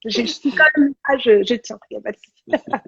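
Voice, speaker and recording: A woman's voice: a little high, brisk, creaky, breathy edge, dry recording. A woman hosting a live-stream interview, stuffy with a cold, who asks thoughtful questions that voice listeners' worries. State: has a cold. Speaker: host. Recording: dull lo-fi sound.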